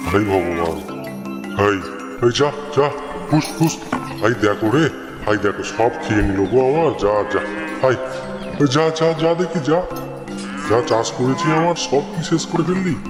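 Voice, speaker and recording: A man's voice: mid-range voice, lively intonation, normal speed, long pauses, lightly acted. A man voicing a fretful old village grandfather who exclaims and repeats himself in a children's cartoon. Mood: frantic. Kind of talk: children's cartoon story voice acting. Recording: echoey reverb, music underneath.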